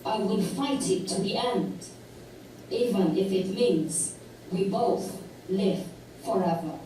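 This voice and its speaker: A woman poet giving a measured, deliberate poetry reading at a public talk in a lecture hall. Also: mid-range voice, noisy background. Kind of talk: slow recited poetry reading. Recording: echoey hall, distant mic.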